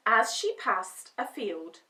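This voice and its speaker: A woman reading a children's storybook aloud as an expressive storyteller. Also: fairly high pitch, slow pace. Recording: roomy, distant microphone.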